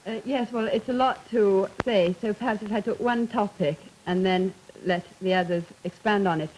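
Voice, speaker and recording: A young woman in her late teens from England, speaking crisply as a student delegate on a panel. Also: mid-range voice, normal speed, a little mellow, poor audio. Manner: crisp, articulate and opinionated.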